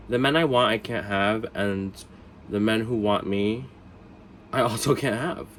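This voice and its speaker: A man's voice: on the low side, a little hiss or hum, low-quality recording. A man with a cold, congested and sniffly, chatting casually and rambling through a storytime vlog with self-mocking asides. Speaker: vlog host.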